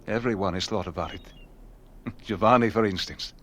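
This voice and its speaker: A man's voice: lowish voice, normal speed, fairly bright tone, a little hiss or hum, natural voice. A man acting a lovesick, bitter young fisherman in a radio play. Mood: bitter, pointed.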